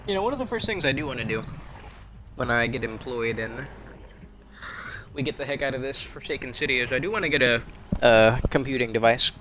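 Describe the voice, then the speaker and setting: A man's voice: medium pitch, expressive, brisk, long pauses, background noise. A young man chatting casually over his own gameplay, rambling and wry, poking fun at himself and at other players. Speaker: game streamer.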